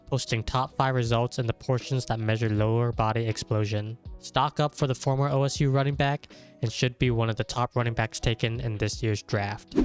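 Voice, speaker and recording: A man speaking with a stuffy, nasal voice because he has a cold. His pitch sits in the middle of the range as he works steadily through a scripted sports analysis. Faint music plays underneath. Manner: methodical, list-by-list breakdown. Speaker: draft analysis creator.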